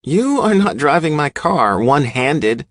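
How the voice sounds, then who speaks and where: A male narrator plays a confident young woman, his pitch lifted well above his own. She sounds light, teasing and defiant, in a dry audiobook recording. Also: sing-song, measured pace, no echo.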